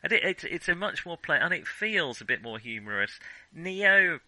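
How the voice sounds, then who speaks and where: A man's voice: on the high side, sing-song, moderate pace, sharp tone, dry recording, low-quality recording. A middle-aged man, co-hosting a casual film discussion. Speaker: podcast co-host discussing films.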